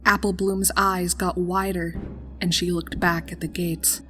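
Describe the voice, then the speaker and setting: A woman narrating a scripted horror story in a dramatic, deliberate storytelling manner. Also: lowish voice, little pitch movement, sharp tone, soft background music. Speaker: fan fiction narrator.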